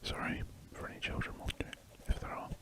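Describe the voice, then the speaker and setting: A man whispering softly and rambling a little as he chats while drawing for an ASMR video, close to the mic indoors. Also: fairly quick. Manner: soft rambling whisper with self-corrections. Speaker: ASMR drawing channel host.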